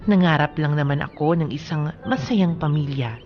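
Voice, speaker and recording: A woman's voice: low-pitched, normal speed, natural voice. Woman acting as heartbroken woman pouring out her grief in a radio drama, slightly dull audio. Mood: despairing, tearful. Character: bereaved woman telling her sorrowful story in a letter.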